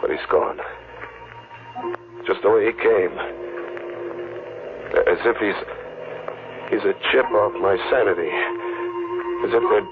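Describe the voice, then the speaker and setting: A man's voice: on the low side, takes it slowly, long pauses. A man playing a haunted, jittery drifter in a 1940s radio drama, narrating in brooding, clipped phrases. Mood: haunted, uneasy. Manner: brooding, clipped, nervous repetitions. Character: haunted, jittery drifter tormented by jungle memories. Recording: muffled vintage radio, loud dramatic music behind.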